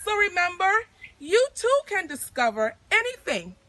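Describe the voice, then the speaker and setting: A woman hosting a story time outdoors, reading aloud and speaking directly to children in an expressive way. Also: very high-pitched, moderate pace. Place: outdoors in nature. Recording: outdoor recording with clear birdsong noise. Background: chirping birds.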